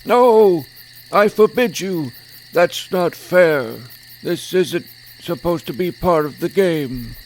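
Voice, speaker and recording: Would-be druid hero, played by a man, a touch high, naive, easily indignant, pompous one moment and plain the next. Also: animated, takes it slowly, slight background noise. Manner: mock-archaic pomposity slipping into plain petulant talk. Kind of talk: first-person fantasy audio drama.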